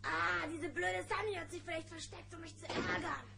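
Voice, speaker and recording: A woman's voice: extremely high pitch, steady tone, normal speed, creaky, exaggerated voice. A woman playing a young, whiny, quarrelsome gummi bear child in a German children's audio play. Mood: petulant. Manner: petulant.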